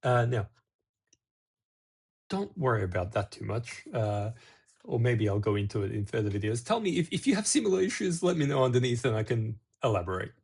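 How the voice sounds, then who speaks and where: A man's voice: mid-range voice, very animated, a little fast, gravelly, a little mellow, breathy edge. A middle-aged man from Serbia hosting a casual talk-to-camera video, rambling with restarts and asides. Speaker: video host.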